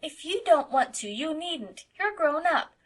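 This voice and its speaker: A woman's voice: sing-song, measured pace. A woman doing a blunt, mischievous little farm boy. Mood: defiant, chattering. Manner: high, loud and insistent childlike delivery. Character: mischievous eight-year-old farm boy, blunt and eager.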